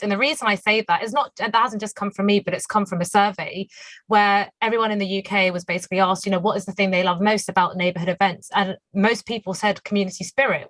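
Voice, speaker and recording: A hoarse young woman, a guest baker, chatting enthusiastically on the phone with many fillers. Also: mid-range voice, a bit bright, dry recording, poor audio. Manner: enthusiastic, lots of "you know" fillers. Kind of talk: phone interview. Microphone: phone line.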